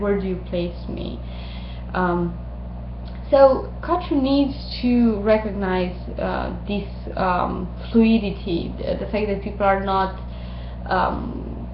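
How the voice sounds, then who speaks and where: A woman from Romania in her thirties, giving a recorded mini-lecture with many ums and pauses. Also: medium pitch, creaky, background noise. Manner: thoughtful, many ums and uhs, halting. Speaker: instructor. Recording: roomy, dull lo-fi recording.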